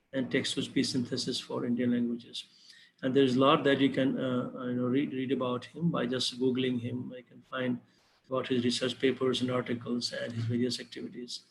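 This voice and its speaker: A man's voice: medium pitch, vocal fry, slight background noise, poor audio. A man moderating an online talk, introducing the keynote speaker with many hesitant fillers. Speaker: event moderator. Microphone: video call.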